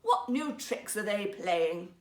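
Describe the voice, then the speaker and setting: A woman's voice: medium pitch, expressive, takes it slowly, reverberant. A woman voicing a stern, indignant old emperor and father in a storybook, blustering and commanding. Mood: suspicious.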